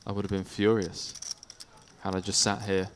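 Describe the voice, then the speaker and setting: A man with a low voice calls the action on a live poker broadcast, brisk and analytical, with a faint hiss behind him. He talks through hands and stack sizes in a chatty flow. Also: little pitch movement. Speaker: poker commentator. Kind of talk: live play-by-play commentary.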